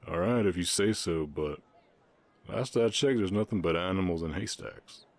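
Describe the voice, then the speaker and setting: Man voicing a very deep Southern orc ranch hand. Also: dry recording. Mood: playful, affectionate. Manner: halting, sighing, earnest. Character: gentle, reserved orc ranch hand in love. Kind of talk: intimate ASMR romance roleplay, Western setting.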